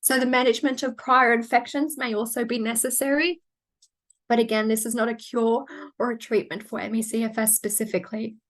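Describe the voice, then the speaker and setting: A woman, presenting medical research in a measured, technical way, with frequent fillers and restarts. She is losing her voice and sounds hoarse. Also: on the high side, flat delivery, a bit bright. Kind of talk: academic webinar presentation.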